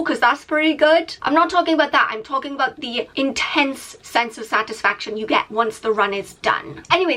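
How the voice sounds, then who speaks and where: A woman's voice: a little high, fairly bright tone. Chatty young woman vlogger. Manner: chatty, self-mocking, laughs often. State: congested from a cold. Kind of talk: casual vlog narration.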